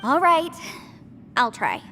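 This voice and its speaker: A woman's voice: sits high, big pitch swings, slow, bright, forceful tone, heavily acted. A woman playing an earnest, articulate young legal advisor in a video game, speaking reflectively. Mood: puzzled. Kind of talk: video game dramatic dialogue. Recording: long reverb, faint noise.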